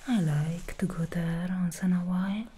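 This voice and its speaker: A woman's voice: lowish voice, steady tone, normal speed, warm tone, husky. Whispering sly demon stylist, played by a woman. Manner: teasing, disdainful of mortals. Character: sly aloof female demon hair stylist. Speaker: ASMR roleplay performer. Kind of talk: ASMR roleplay.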